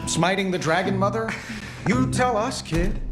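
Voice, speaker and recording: An actor playing a cocky, sarcastic armored superhero in a video game, with a flippant, mocking delivery. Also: male voice, high voice, normal speed, lightly acted. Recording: very loud music over the voice.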